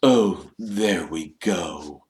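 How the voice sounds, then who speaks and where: A man voicing a proud, easily wounded gentlemanly ghost with a stiff, drawn-out manner. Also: a little high, monotone, pitched above the performer's own voice. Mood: stiff, bitter. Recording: roomy, echoing narration recording.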